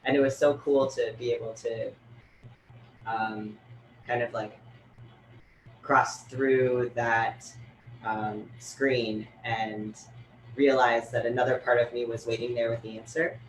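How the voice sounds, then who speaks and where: Man, unhurried, reflective conversational delivery, video call in a roomy space with faint background noise. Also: mid-range voice, level tone. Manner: thoughtful, loose, many fillers. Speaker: writer and fellow in a panel conversation.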